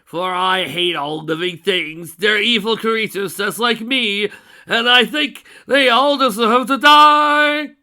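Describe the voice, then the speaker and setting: A man's voice: high voice, steady tone, slow pace, bright, forceful tone, clear, resonant tone, voice pushed up, full character voice. A man voicing a manic, bloodthirsty male alicorn villain who cackles and sneers. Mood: gleeful singing.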